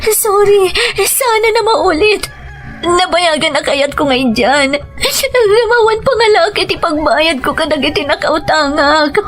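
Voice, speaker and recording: A woman playing a remorseful young wife who begs tearfully and haltingly for forgiveness in a melodramatic audio drama. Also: very high-pitched, faint music behind.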